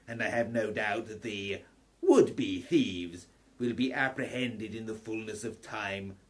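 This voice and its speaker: An actor playing a haughty, threatening lawyer in an audio drama, speaking formally, pompously and with emphasis. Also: male voice, on the low side, slow pace. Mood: coolly dismissive.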